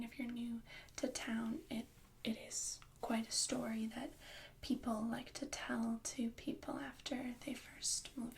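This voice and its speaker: A young woman voicing a kind, bookish young princess in a soft ASMR whisper, hesitant and gently reassuring. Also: fairly high pitch, a little hiss or hum. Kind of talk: ASMR roleplay.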